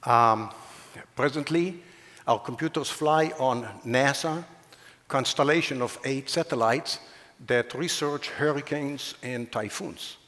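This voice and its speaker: A man in his seventies with an Israeli accent gives a prepared public speech in a measured, formal way with deliberate pauses. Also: medium pitch, a bit bright. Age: 70s. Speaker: guest speaker, engineering professor and founder. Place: large hall, speaking to an audience. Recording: echoing hall with long reverb.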